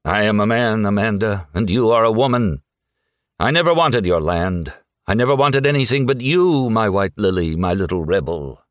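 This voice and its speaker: A man's voice: fairly low pitch, animated, moderate pace, dry recording, clean studio sound, pitched above the performer's own voice, close to an everyday voice. A man voicing a hot-tempered, passionate romantic hero with an intense, melodramatic manner in a story reading. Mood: ardent. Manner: intense, melodramatic, murmuring to ardent.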